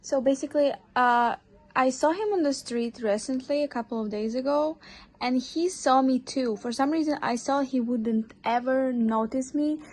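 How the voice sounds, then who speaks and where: A young woman with a Ukrainian accent speaks casually and matter-of-factly, in a somewhat high voice. Her delivery is relaxed and conversational, like a quick vlog update. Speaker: vlogger.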